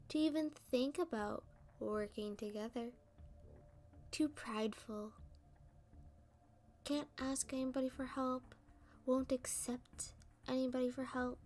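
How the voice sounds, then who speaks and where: Sassy female supervillain for ASMR roleplay, acted by a woman: high-pitched, unhurried, smugly taunting. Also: darkish tone. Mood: smug, mocking. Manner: teasing, taunting, drawn-out delivery. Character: playful, sassy, smug young female supervillain.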